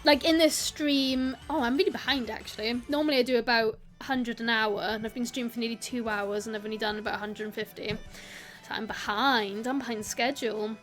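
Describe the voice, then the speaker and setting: A woman's voice: on the high side, fairly even intonation, fairly quick, a bit bright, faint music behind. A young woman with a South Welsh accent, chatting casually with viewers on a live stream. She talks in a rambling way, giggles often and greets people as they arrive. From South Wales. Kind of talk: casual live-stream chat while gaming.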